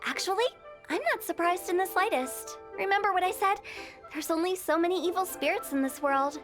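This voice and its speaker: A woman's voice: very high-pitched, brisk. A woman playing a mischievous, self-assured young funeral parlor director in a video game, speaking in a teasing way. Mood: playful. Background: game background music.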